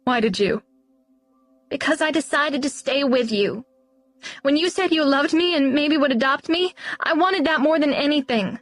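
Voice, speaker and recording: A woman's voice: normal speed, dry recording, soft background music, lightly acted. Woman doing a tearful little girl. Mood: earnest. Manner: high childlike pleading voice. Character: small girl, earnest and tearful.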